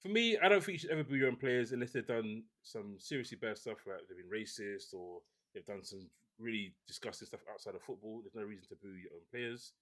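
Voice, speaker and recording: A man hosting a casual football podcast, talking in a relaxed, opinionated way with many restarts. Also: on the low side, fast talker, hardly any pauses. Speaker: podcast host.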